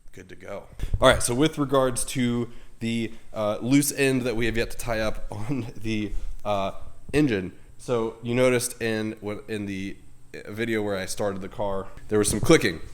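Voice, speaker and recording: A man's voice: lowish voice, steady tone, moderate pace. Congested young man in his garage. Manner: chatty, self-deprecating, rambling with fillers. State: congested from a head cold. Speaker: car channel host. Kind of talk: casual garage vlog talk. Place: home garage.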